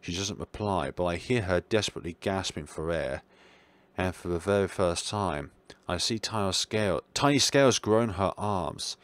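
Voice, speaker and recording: A man's voice: low-pitched, moderate pace. A man reading a visual novel aloud for a gaming video, dropping in casual, musing side remarks. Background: game music.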